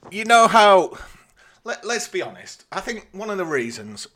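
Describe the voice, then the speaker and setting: A man's voice: high-pitched, sing-song, moderate pace, pushed and bright. A man with a British accent, talking casually as a podcast co-host. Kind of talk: casual chat.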